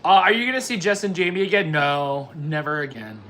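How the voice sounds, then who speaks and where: Bright-voiced man in his forties, livestreaming. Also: a little high, animated, fairly quick, slight background noise. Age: 40s. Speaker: livestream host. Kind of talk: casual chat with live viewers.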